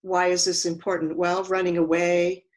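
An older woman giving reflective, prepared remarks as a panelist in a measured, deliberate manner. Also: on the low side, little pitch movement. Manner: measured, deliberate, long considered sentences. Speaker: panelist, scholar and writer.

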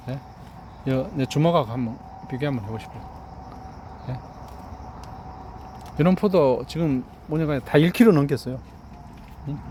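A man's voice: medium pitch, dark tone, slight background noise. A middle-aged man, a grape farmer, proudly shows his harvest in a vineyard and keeps urging the viewer to look. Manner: proud, boastful, repeats "look here" and asks "eh?". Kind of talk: casual vlog walkthrough. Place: outdoor vineyard.

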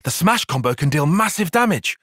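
A man's voice: a little high, very animated, fairly quick, a bit bright, dry recording, studio quality. An actor voicing an earnest, calculating and proud young male tactician in a video game, speaking formally and precisely with intensity. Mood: calm analytical. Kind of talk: video game battle voice lines.

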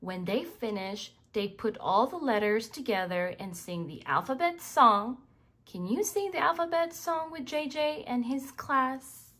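Casting: woman, children's read-along narrator, high voice, very animated delivery, addresses the child listener. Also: a little slow. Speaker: children's book reader. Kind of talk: scripted read-aloud narration for young children.

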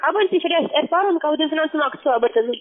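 A woman with a distinctly high voice gives a scripted sales pitch. She is a non-native speaker calling as a sales agent. Her voice comes through a thin, tinny phone connection. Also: expressive, fast talker. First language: not German. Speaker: call centre sales agent.

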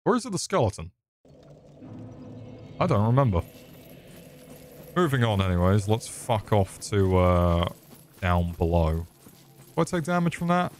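A man's voice: lowish voice, sing-song, measured pace, long pauses, slight background noise. A young man streaming a game, swearing and joking sarcastically as he plays. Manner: sweary, sarcastic, crude jokes. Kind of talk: swearing live gameplay commentary.

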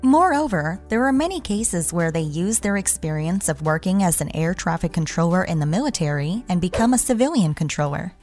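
A woman's voice: lowish voice, animated, fairly quick, hardly any pauses, a little mellow. Woman narrating an explainer over music. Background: background music.

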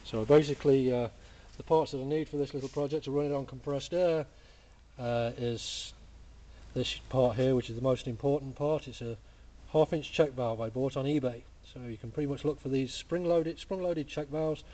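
A man casually explaining a workshop build in a relaxed, plain-spoken way, full of "uh" and "basically", filmed up close on a camera mic at a cluttered bench. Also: medium pitch, moderate pace. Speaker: hobby engineer demonstrating a build.

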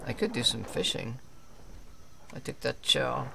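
A man's voice: medium pitch, measured pace, gravelly, pushed and bright, a little hiss or hum. A man streaming a game, roleplaying a polite, hesitant character with a put-on French accent and many fillers. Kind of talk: in-character roleplay chat and live gameplay talk.

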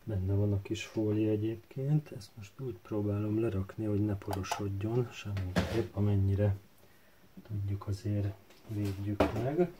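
A man narrating a hands-on tutorial casually, hesitant and halting as he thinks aloud while working. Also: fairly low pitch, steady tone, mellow. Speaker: DIY tutorial host. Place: indoor workbench. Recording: faint background noise with handling clicks.

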